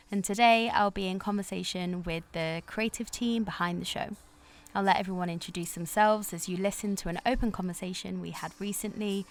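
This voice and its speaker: A woman hosting an interview as a theatre director and producer. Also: medium pitch.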